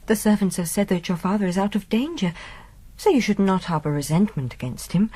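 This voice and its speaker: A virtuous young noble daughter in a Gothic radio drama. Her delivery is earnest and heightened, with lively swings of pitch. The sound is close and dry. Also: a little fast, husky, no room sound. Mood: coyly probing. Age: young adult.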